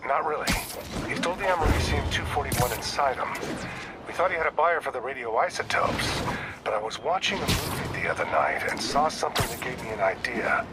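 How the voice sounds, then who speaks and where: An older police detective, played by a male actor, talks in a weary but earnest, matter-of-fact way. His pitch is middling, and loud city and vehicle noise runs behind him, as in a video game scene. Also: expressive, brisk, natural voice. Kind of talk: video game voice acting, conversational.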